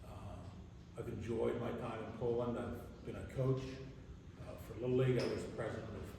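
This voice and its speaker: A man's voice: on the low side, steady tone, moderate pace, gravelly. Hesitant man in his sixties, echoey hall. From Ohio, US. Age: 60s. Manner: hesitant, self-deprecating, many fillers. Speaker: township trustee candidate. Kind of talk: candidate introduction at a public forum. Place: large echoing public hall. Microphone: distant microphone. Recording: echoey hall from far off, background noise.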